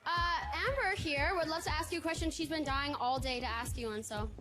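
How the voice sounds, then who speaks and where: A woman co-hosting live TV among fans, loud and bubbly. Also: high-pitched, a little fast. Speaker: TV co-host. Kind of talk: live TV interview with crowd. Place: live TV set with crowd. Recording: loud crowd and music behind.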